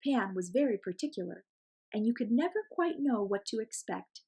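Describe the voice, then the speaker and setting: Woman doing a kids story read aloud, expressive, bit of husk in the voice. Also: mid-range voice, normal speed, slightly rough. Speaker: storyteller reading a picture book aloud.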